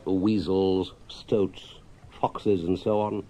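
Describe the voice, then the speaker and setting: A man voicing a brisk, friendly, excitable water rat in a children's storybook, with hearty, chatty gentlemanly talk. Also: deep voice, slight background noise. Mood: matter-of-fact. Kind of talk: children's storybook character reading.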